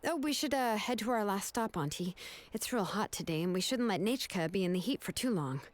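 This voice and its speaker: A woman plays a young female adventurer in a video game, casual and tactful. The pitch is average and there is faint noise on the recording. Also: expressive, fairly quick, lightly acted.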